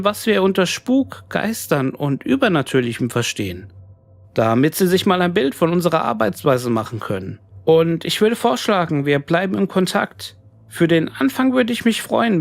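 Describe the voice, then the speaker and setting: A man narrates a scripted horror tale in a measured way. His voice has a gravelly creak and is recorded dry and close, with faint music underneath. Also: on the high side, no room sound. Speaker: narrator reading horror stories. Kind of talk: scripted creepypasta audiobook narration of emails and diary entries.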